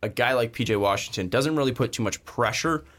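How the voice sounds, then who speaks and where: A man hosting a casual sports podcast, talking with frequent fillers and pauses. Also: medium pitch. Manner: relaxed, hesitant, frequent fillers. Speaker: podcast host.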